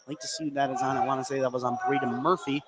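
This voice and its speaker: A man's voice: mid-range voice, little pitch movement, very fast, noisy background. A man doing live play-by-play basketball commentary on the radio.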